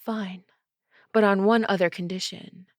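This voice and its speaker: A woman's voice: mid-range voice, steady tone, no room sound, clean studio sound, natural voice. A woman playing a sly, menacing female vampire villain who teases and mocks in an ASMR audio drama. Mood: sly, amused. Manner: teasing, sly, drawn-out delivery.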